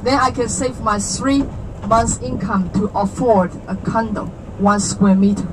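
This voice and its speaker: A woman's voice: mid-range voice, a little slow, poor audio. A woman in her thirties from China, with a Chinese accent, explaining things to visitors on a walking tour in a chatty way, asking questions and joking. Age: 30s. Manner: chatty and explanatory, asks listeners questions, jokes. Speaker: tour guide. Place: outdoors on a city street. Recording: traffic noise from the street.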